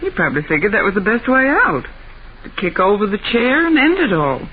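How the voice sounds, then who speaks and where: Casting: actress as detective's girl assistant, jittery and curious, swooping expressive voice, vintage radio mystery with thin, phone-like, noisy sound. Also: medium pitch, brisk, natural voice. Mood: resigned. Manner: questioning, expressive. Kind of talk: old-time radio drama acting.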